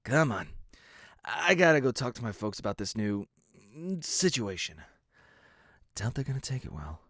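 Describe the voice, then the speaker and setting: A man's voice: medium pitch, very animated, moderate pace, a bit bright, no room sound, studio quality. A man voicing an earnest, dutiful young stallion who serves as a royal guard and speaks a little hesitantly in a fan audio drama. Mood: uneasy, earnest.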